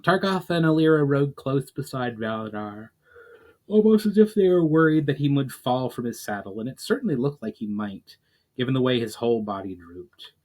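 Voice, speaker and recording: A man's voice: medium pitch, expressive, moderate pace, darkish tone. Man narrating a dramatic fantasy tale. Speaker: author reading a book chapter aloud.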